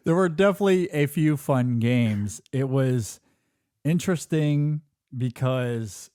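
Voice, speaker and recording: A man's voice: medium pitch, slow, mellow, smooth, dry recording, studio quality. A man from Michigan hosting a podcast in casual chat, telling a personal story earnestly, often repeating words as he goes. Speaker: podcast host.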